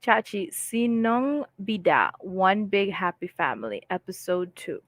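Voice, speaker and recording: A woman's voice: medium pitch, animated, measured pace, a bit bright, dry recording. A woman hosting a casual live stream from home, chatting and reading viewer comments aloud with frequent laughs. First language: English.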